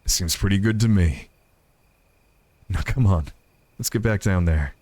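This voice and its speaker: A man's voice: low-pitched, a little slow, darkish tone, dry recording, pitched below the performer's own voice. A man voicing a tender, reassuring cowboy outlaw with a folksy Western drawl in a visual novel.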